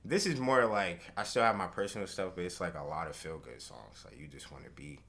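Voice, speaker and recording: A young man with a very deep voice that still rises and falls a lot as he talks. His tone is bright and forward. He chats in a relaxed, slangy way with plenty of fillers, like a guest in a casual interview. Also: brisk, gravelly. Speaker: recording artist guest.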